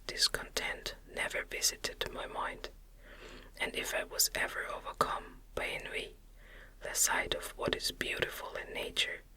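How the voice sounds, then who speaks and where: Female ASMR reader for gothic literature: fully whispered, very crisp sibilant brightness, gentle and soothing, unhurried phrasing. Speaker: audiobook reader.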